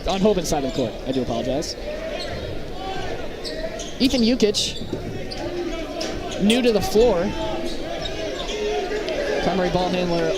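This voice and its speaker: Male basketball play-by-play announcer, high register, clipped live calls, heavy crowd din behind. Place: press booth at an indoor basketball game. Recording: very loud crowd and gym noise. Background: loud crowd, bouncing basketballs.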